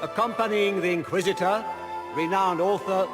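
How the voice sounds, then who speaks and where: A man as a high-pitched, pompous court herald. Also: normal speed, over loud music, close to an everyday voice. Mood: grand, ceremonial. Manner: ceremonious, declaiming. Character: pompous male court herald announcing noble guests. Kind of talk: formal announcing in a video game cutscene.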